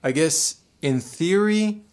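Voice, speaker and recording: A nervous, lively young technician. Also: male voice, fairly high pitch, slow. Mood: nervous, hesitant. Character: nervous, reluctant young male technician on a moon station. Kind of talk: audio drama acting.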